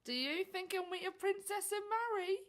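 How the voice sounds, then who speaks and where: A woman's voice: very high-pitched, moderate pace. A woman playing a nosy, world-weary washerwoman in a radio play, folksy and chatty. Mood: gossipy. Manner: folksy, gossiping. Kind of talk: stage musical radio play acting.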